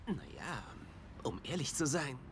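An actor playing a tactless, upbeat, eccentric detective who talks in a chatty, flippant way in a dubbed TV drama. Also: male voice, on the high side, sing-song, unhurried, slight background noise.

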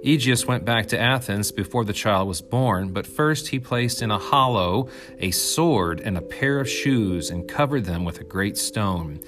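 A man's voice: on the low side, animated, a little slow, over music. A man reading an ancient myth aloud as scripted storytelling narration.

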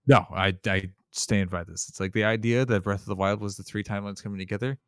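A man with a fairly low voice talks enthusiastically on a podcast. He rambles and often restarts his sentences. His voice is recorded close and dry. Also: steady tone, fast talker, a bit bright, smooth, no echo. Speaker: podcast co-host. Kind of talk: casual chat.

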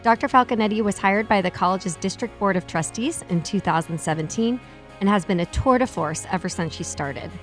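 A woman's voice: medium pitch, brisk, faint music behind. A woman hosting a podcast interview in a chatty way, laughing easily. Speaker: podcast host.

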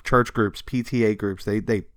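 A man co-hosting a casual, comedic history podcast, telling stories from notes in an animated way with casual swearing. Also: on the low side, moderate pace, no echo, studio quality. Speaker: podcast co-host.

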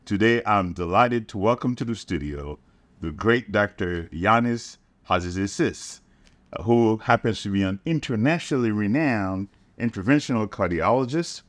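A man with a low-pitched voice hosts an interview podcast. He speaks formally and deliberately, and the sound is dry with very little room. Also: no room sound. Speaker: podcast host, medical school dean.

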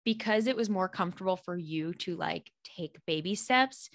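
A young woman hosting a casual podcast chat, bubbly and quick to laugh, with lots of "like" in her speech. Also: on the low side, expressive, dry recording. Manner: bubbly, laughs often, heavy use of "like". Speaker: podcast host.

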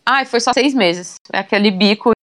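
A woman's voice: a little high, normal speed. Chatty young woman with filler words. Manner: chatty, relaxed, many fillers like "né" and "sabe". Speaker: interviewee. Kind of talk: casual interview chat.

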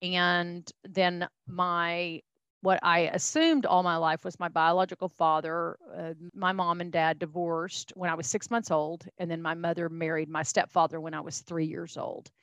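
A middle-aged Texan woman talks through her story in a folksy, rambling way, full of fillers and restarts. Her voice sits on the low side. The recording is dry and close, with no room sound. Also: little pitch movement, normal speed, a bit bright, clear, resonant tone, dry recording. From Texas, USA. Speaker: podcast guest. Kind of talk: casual interview storytelling.

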